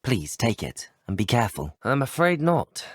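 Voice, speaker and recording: An actor playing a polite, earnest teenage student wizard in a video game, with a British accent and courteous, articulate speech. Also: male voice, mid-range voice, big pitch swings, normal speed, dry recording, clean studio sound. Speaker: video game player character. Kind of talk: scripted game dialogue.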